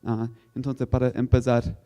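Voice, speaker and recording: Male workshop presenter, American accent, non-native and halting, smooth mellow voice. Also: mid-range voice, completely flat delivery. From United States. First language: English. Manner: halting, searching for words in non-native speech. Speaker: guest speaker at a technical workshop. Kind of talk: instructional presentation.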